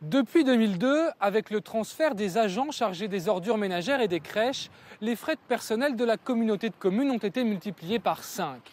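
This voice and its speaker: A man's voice: sits high, animated, normal speed, slight background noise. A man narrating a scripted television news report as its reporter.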